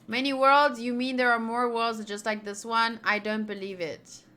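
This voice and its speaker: Young woman, bright higher-pitched voice, chatty, playful, casual game commentary, reads dialogue aloud, rambling asides. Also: fairly even intonation, normal speed. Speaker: streamer.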